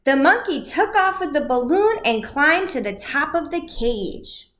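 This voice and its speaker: A woman reading a children's storybook aloud in simple, deliberate phrases, as an educator addressing young listeners. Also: high voice, very animated, fairly bright tone, reverberant.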